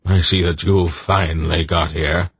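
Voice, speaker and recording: A man voicing a wry, gruff old man who talks dryly and curtly. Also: low voice, fairly even intonation, unhurried, a bit bright, no echo, heavily acted.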